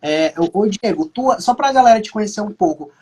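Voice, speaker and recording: Husky, higher-voiced podcast host. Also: male voice, animated, brisk, a little mellow. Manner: hesitant, many fillers. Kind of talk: casual live podcast hosting.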